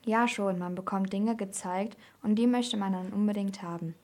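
A woman's voice: mid-range voice, moderate pace, darkish tone. A woman presenting youth radio in a scripted style.

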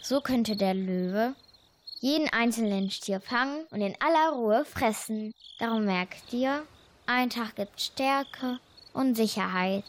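A woman narrating a scripted fable in a measured, didactic reading manner. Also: a little high. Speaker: storyteller in a radio fable.

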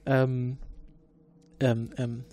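A man's voice: medium pitch, flat delivery, extremely slow, mellow, a little hiss or hum. A man playing an improvised detective role-play, hesitant and searching for words. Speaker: player.

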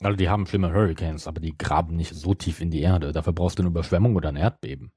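A man in casual podcast conversation, picking over details with a questioning mind. His voice is fairly low and dark, with a husky grain. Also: fairly even intonation, fast, no echo, studio quality. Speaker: podcast co-host. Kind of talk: casual fan discussion.

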